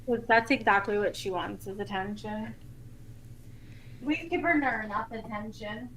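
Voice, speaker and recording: A woman's voice: mid-range voice, measured pace, fairly bright tone, rough recording. A woman co-hosting a live show in casual, conversational chat. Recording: faint background noise.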